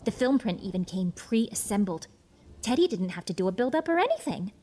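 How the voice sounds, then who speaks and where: An actress playing an eager, chatty young 1940s cinema usherette, gushing and gossipy with wholesome old-fashioned slang in an audio drama. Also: female voice, mid-range voice, very animated, brisk, warm tone. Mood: eager, deferential. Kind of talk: film noir audio drama acting.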